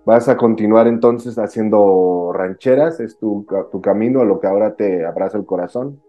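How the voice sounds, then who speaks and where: A man's voice: fairly low pitch, normal speed, muffled recording, soft background music. A man in his forties hosting an interview over a video call, asking long, effusive questions padded with fillers. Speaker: interviewer, host.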